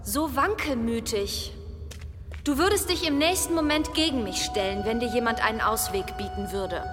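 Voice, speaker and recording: Demonic undead girl, played by a woman: high voice, taunting menace, vast echoing space, music underneath. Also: close to an everyday voice. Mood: contemptuous. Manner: taunting, commanding, deliberate menace. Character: undead young woman, gloating all-powerful demonic tyrant. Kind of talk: German audio drama acting. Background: dramatic music.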